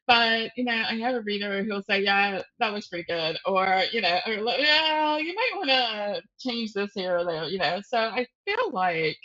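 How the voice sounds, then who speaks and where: A woman's voice: medium pitch, animated, normal speed, few pauses, bright, forceful tone. A woman speaking as a guest author in a casual interview, conversational and thinking aloud, with self-deprecating laughs. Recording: slightly dull, muffled audio.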